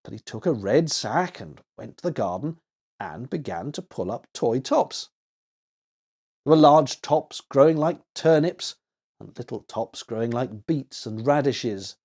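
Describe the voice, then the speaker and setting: A male storyteller reads a children's tale expressively. His medium-pitched voice moves with lively, varied intonation. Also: a little slow.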